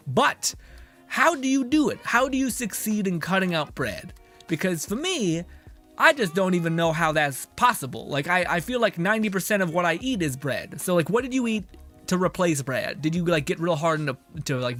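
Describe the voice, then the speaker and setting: A man's voice: sits high, a bit bright. A young man streaming a game, chatting casually with his viewers and reading their messages aloud, laughing and swearing often.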